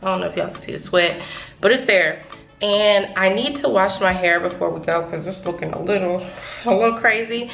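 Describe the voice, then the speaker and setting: A woman's voice: mid-range voice, fairly quick, vocal fry, fairly bright tone, faint music behind, rough recording. A woman, chatting casually to her viewers in a home vlog and calling them y'all. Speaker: vlogger. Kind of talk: casual vlog chat to camera. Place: home rooms and a parked car.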